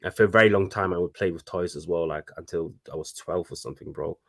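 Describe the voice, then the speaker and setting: Guy in his 30s, low voice, designer chatting, keeps restarting lines. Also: fast talker, vocal fry, slight background noise. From Congo (DRC). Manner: animated, with frequent restarts. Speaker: guest, fashion designer. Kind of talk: casual conversation.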